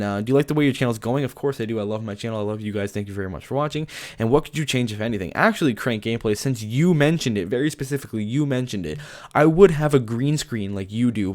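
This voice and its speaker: A young man from Canada with a lisp, hosting a casual gaming-channel Q&A from his bedroom, joking and laughing as he answers. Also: fairly low pitch. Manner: chatty, joking, laughs often. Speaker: YouTube gaming channel host. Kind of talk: casual Q&A vlog.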